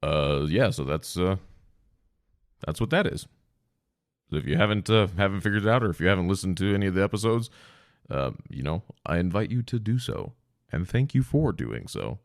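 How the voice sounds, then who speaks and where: A deep-voiced man rambles through his own podcast, stuffy and congested with a slightly sore throat. The sound is close and dry with no room around it. His tone is loose and self-deprecating, and he swears now and then. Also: big pitch swings, moderate pace, no echo. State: sore throat from long talking. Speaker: podcast host. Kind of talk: rambling solo podcast talk.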